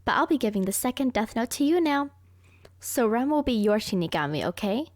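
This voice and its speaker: A woman's voice: on the high side, animated, fairly quick, darkish tone, no room sound, clean studio sound, natural voice. A woman playing a smug, brilliant, manipulative young serial killer girlfriend in an anime roleplay, boastful and coaxing. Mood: sweetly manipulative. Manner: boastful, coaxing, self-satisfied.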